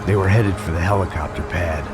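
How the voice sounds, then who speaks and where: An actor playing a jaded middle-aged American bodyguard, narrating a game in a deadpan, sardonic, hard-boiled way. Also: male voice, deep voice, a little fast, lightly acted. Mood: weary, wry. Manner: world-weary, deadpan. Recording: loud music under the voice.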